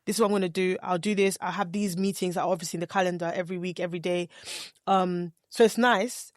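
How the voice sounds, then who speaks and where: A woman's voice: fairly low pitch, steady tone, fast talker, no room sound, clean studio sound. A woman co-hosting a podcast in casual chat, chatty and rambling with many restarts. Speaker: podcast co-host.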